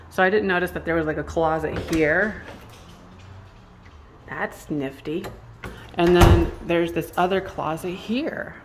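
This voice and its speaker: A woman chatting casually and informally to a handheld camera as she vlogs, thinking aloud. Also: fairly low pitch. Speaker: vlogger. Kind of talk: casual vlog chat to camera. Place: indoors, empty house rooms and a supermarket. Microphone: handheld phone or camera, held out. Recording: clear background noise, handheld camera audio.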